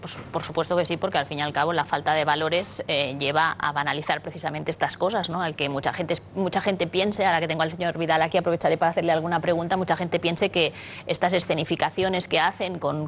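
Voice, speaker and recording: A woman's voice: deep voice, steady tone, brisk, slight background noise. Earnest woman from Catalonia on a panel. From Catalonia, Spain. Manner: earnest, many restarts and tag questions. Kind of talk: studio panel discussion.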